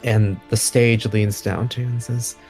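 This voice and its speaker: Man, game master, lowish pitch, casual scene narration, soft background music. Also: steady tone, measured pace, breathy edge, rough recording.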